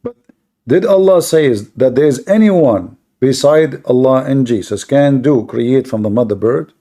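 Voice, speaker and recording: A man's voice: mid-range voice, moderate pace, warm tone. Insistent man grilling his opponent. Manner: pressing, repeated questions with non-native grammar. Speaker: debate host. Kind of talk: live online debate.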